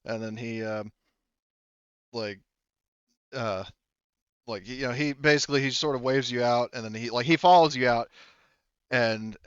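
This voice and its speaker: A man's voice: mid-range voice, smooth, no room sound. Game master, male, stop-start tabletop storytelling, clean resonant tone, somewhat muffled close sound. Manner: halting, stop-start phrasing. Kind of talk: improvised tabletop game narration.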